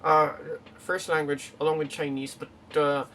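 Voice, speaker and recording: A young man from the Philippines rambles through a casual vlog, full of fillers and restarts. His voice sits a bit high for a man. He is picked up from some distance, with faint background noise. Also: level tone, moderate pace, a bit bright. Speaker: YouTuber. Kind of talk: casual vlog talk to camera.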